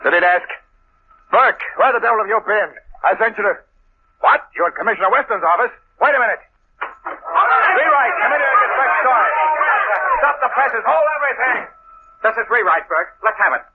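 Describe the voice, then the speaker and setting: A gruff city editor barks orders impatiently in an old radio drama. His voice is fairly high. It comes through faint hiss on a tinny, lo-fi recording. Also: animated, fairly quick, pauses often. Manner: barking, impatient. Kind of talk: 1940s radio acting.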